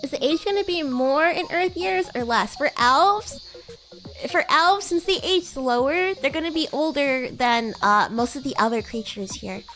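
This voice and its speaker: A woman's voice: high voice, normal speed, fairly bright tone, faint music behind, low-quality recording. A woman voicing a bratty, teasing young demon classmate in an improvised livestream roleplay, rambling playfully. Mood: chatty explaining. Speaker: VTuber streamer.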